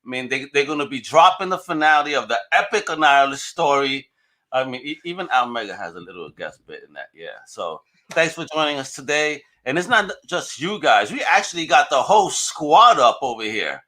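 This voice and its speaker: A man's voice: mid-range voice, big pitch swings, a little fast, sharp tone. A man hosting a podcast with enthusiastic, slangy, showman-style introductions and casual chat. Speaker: podcast host.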